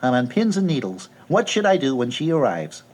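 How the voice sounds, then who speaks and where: An actor playing an anxious, socially awkward bookish man in an audio drama, with formal, fussy, hesitant speech and a husky tone. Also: medium pitch, big pitch swings, brisk, a little mellow, poor audio. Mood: puzzled, nervous.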